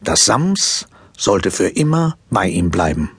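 A man narrating a children's story from a script in an expressive storytelling manner. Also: low-pitched, slow pace, slightly rough, no room sound.

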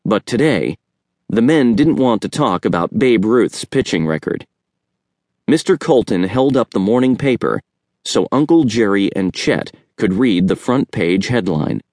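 A man's voice: lowish voice, expressive, unhurried, a little mellow, no room sound, clean studio sound. A man reading a children's story aloud as scripted audiobook narration.

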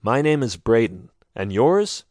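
A man's voice: mid-range voice, big pitch swings, measured pace, darkish tone, no echo. A man voicing a polite, slightly hesitant young male archaeologist in close to his own voice while reading a story. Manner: plain, polite, a bit hesitant.

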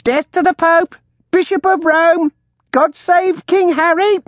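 Very shrill talking parrot. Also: steady tone, takes it slowly, dry recording, studio quality. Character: exotic talking bird mimicking a shrill old woman.

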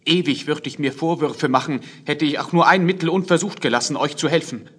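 A man's voice: a little high, brisk, a bit bright, breathy edge. A man playing an earnest, determined young prince, the eldest son, in a fairy-tale radio play.

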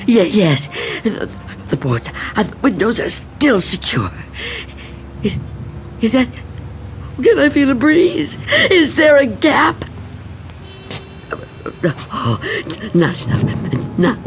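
Fretful old recluse, wildly swooping voice. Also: female voice, a little high, big pitch swings, vocal fry, soft background music, exaggerated voice. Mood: fretful, anxious. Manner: fretful, halting, repeating herself. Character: frightened reclusive old woman shut in, suspicious, muttering to herself. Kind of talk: radio drama acting.

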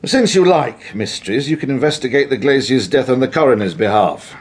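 An actor playing an overbearing, impatient Tudor official with a husky voice and a brusque, contemptuous manner, in a radio drama. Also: male voice, mid-range voice, expressive, brisk, background noise, close to an everyday voice. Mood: brusque, commanding. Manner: brusque, commanding. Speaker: senior official. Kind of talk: full-cast radio drama.

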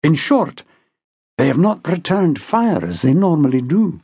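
A man's voice: on the high side, sing-song, measured pace, mellow, slightly rough, dry recording, heavily acted. A male narrator acts an army major in a historical audiobook. The officer is composed, protective and wry, speaking in a very dry, close sound. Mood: reasoning. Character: calm Scottish infantry major, protective and wry.

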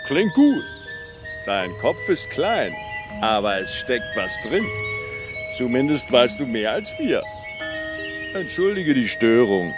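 A big, confident elephant from a children's audiobook. He speaks slowly and deliberately, with lively melody and a gentle temper, over light music. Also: medium pitch. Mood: cheerful, determined. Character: large male zoo elephant, gentle, confident, adventurous.

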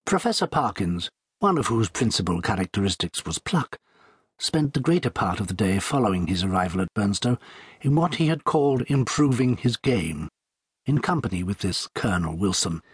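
Very husky male audiobook narrator. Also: on the low side, sing-song, normal speed, dry recording, studio quality. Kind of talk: scripted literary ghost story narration.

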